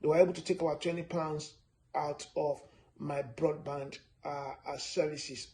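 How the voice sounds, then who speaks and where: A man from Nigeria giving a steady, repetitive finance lesson, stressing his points with frequent "uh" fillers. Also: a little high, normal speed. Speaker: personal finance presenter. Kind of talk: instructional talk to camera.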